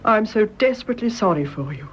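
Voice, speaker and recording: A British actress playing a kindly, dignified older mother-in-law in a radio drama, measured and genteel. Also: medium pitch, lively intonation, a little hiss or hum, natural voice. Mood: grave, sympathetic. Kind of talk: BBC radio drama acting.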